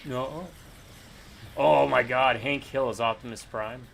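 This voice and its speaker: A man's voice: mid-range voice, steady tone, slow pace, gravelly, fairly bright tone, a little hiss or hum. A man chatting casually while playing a co-op game, joking and thinking aloud. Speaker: co-op game player. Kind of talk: casual gameplay chat.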